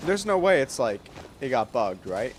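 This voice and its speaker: A man's voice: medium pitch, very animated, normal speed, background noise. A man chatting casually on a gaming livestream in a playful, self-deprecating way. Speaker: game livestreamer. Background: game music.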